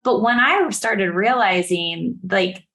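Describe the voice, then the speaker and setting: A woman co-hosting a podcast in casual interview chat, animated and acting out her own reactions as she tells stories. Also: medium pitch, slow pace. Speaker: podcast co-host.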